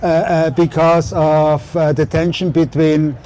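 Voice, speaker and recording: A man moderating a public talk outdoors, halting and full of fillers. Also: a little high, little pitch movement, mellow, a little hiss or hum. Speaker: event moderator. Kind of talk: public talk on a city tour. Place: outdoor city square.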